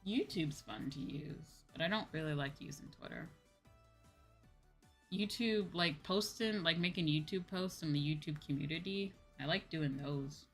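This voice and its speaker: A woman's voice: low-pitched, moderate pace, faint music behind. A woman streamer chatting casually to her livestream, with an exasperated, venting manner and some casual swearing. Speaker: VTuber streamer, host.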